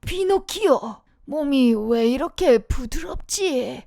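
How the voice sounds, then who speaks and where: A woman's voice: high-pitched, lively intonation, takes it slowly, vocal fry, warm tone, no echo, studio quality, full character voice. A woman playing a kind, loving old grandfather in a children's storybook, with a tender elderly delivery. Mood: surprised.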